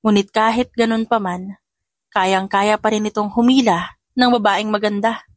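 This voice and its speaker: Woman, story narrator, scripted dramatic reading, mid pitch, dry close sound. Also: steady tone, normal speed, dry recording.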